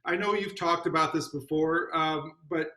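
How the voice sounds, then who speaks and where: A man's voice: on the high side, fairly even intonation, moderate pace, bright, forceful tone, reverberant. A man hosting a charity fundraising livestream, chatty and upbeat, with fillers and restarts. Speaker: host.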